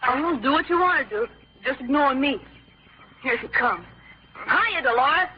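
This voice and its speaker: A man's voice: very high-pitched, animated, unhurried. A male actor playing a boastful, condescending teenage boy in an old-time radio drama. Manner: cocky, condescending. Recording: dull, faintly noisy old radio recording.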